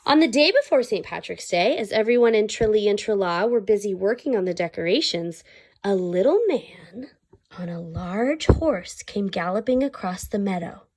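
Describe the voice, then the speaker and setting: A woman reading a children's story aloud, pausing to explain things simply to her listeners. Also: mid-range voice, animated, a little mellow. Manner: pauses to explain words and ask listeners questions.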